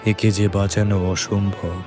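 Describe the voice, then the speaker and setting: A man performs a fanatical temple priest in a horror audio story, with a low voice and very slow, solemn pacing. Music plays prominently behind his grave pronouncements. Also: level tone, lightly acted. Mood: grave, menacing.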